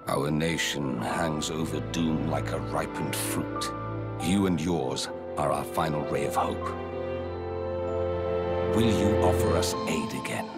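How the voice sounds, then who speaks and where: An actor playing a grave, dignified king in a video game, speaking in formal, measured, archaic phrases. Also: extremely low pitch, heavily acted. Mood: solemn, gracious. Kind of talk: video game cutscene acting. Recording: loud orchestral music behind.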